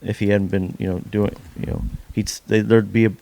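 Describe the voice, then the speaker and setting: A man's voice: on the low side, level tone, fairly quick, gravelly, dark tone. A man chatting casually as a podcast guest, a country musician who rambles and swears freely. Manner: rambling, profane. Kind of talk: casual interview chat.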